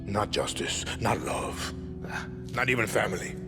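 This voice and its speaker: An actor playing a commanding, menacing middle-aged male dictator, speaking in a measured, theatrical way in a game cutscene. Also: fairly low pitch, lively intonation, over music. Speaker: president addressing the nation. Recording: film score behind the voice.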